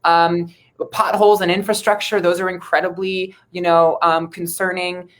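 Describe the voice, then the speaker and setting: A woman from Ohio with an American accent, answering interview questions in an earnest, measured, conversational way as a local politician. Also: lowish voice, little pitch movement. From Ohio, United States. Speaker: city council member, interview guest.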